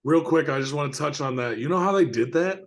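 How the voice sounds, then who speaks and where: A man's voice: fairly high pitch, animated, fairly quick. A man co-hosting a casual film discussion, very informal and slangy, leaning often on filler words. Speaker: film podcast co-host.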